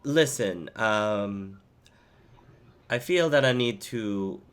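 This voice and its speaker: A man voicing a female captain who speaks in blunt, short questions, in a low voice. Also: animated, extremely slow, a bit bright, smooth, full character voice. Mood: apologetic. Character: stern female captain, the player's sister.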